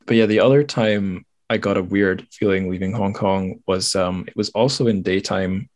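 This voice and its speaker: Low, dry-sounding Scottish man. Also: fairly even intonation, moderate pace, darkish tone, dry recording. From Scotland. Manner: rambling, thoughtful, self-correcting. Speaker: podcast host. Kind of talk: casual reflective conversation.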